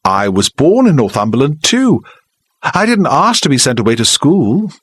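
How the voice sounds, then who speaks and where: Older southern english lady, earnest and apologetic, pitched way up, lively, as a male narrator puts her on. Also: moderate pace, slightly rough, no room sound, studio quality. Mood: earnest, pleading. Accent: southern English middle-class. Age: 60s. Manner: earnest, pleading. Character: older village woman, flustered and apologetic.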